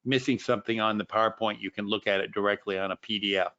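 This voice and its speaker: A man's voice: lowish voice, fairly even intonation, fast talker, fairly bright tone, no echo. A man co-hosting a casual online tech session over a video call. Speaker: co-host running the slides. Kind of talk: casual chat. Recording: dull, lo-fi online stream audio.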